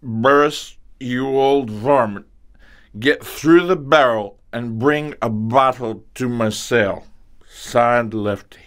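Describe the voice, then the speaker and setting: A man's voice: medium pitch, slow pace, a bit bright. A man playing a jailed outlaw in a western game, reading out a demanding note in folksy phrasing. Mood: demanding, sly. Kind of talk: video game note read in character.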